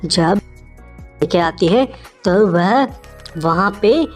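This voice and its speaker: A woman's voice: lowish voice, animated, very slow, faint music behind. A woman narrating a children's moral story in an informal, conversational, improvised way.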